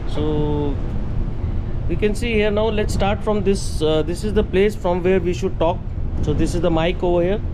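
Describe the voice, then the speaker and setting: A man's voice: a little high, noisy background. A man giving an informal instructional demonstration, explaining step by step and repeating key points. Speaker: instructor for seafarers.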